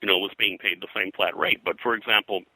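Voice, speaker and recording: A man's voice: on the low side, steady tone, fast talker, bright, forceful tone, dry recording, poor audio. An American man speaking as an interview guest, a pop culture historian telling detailed anecdotes in a rambling, digressive way.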